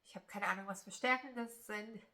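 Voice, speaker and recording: A young woman with a Swiss German accent talks through her crochet vlog, her voice bright and clear. She chats on loosely, with plenty of hesitations and restarts. Her first language is Italian. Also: medium pitch, brisk. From German-speaking Switzerland. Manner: chatty, rambling, many filler sounds and restarts. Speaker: crochet YouTuber. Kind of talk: casual vlog chat.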